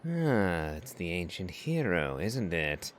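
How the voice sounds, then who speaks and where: A man doing casual playthrough commentary and reading along, in a musing, unhurried way. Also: low voice, big pitch swings, a little hiss or hum.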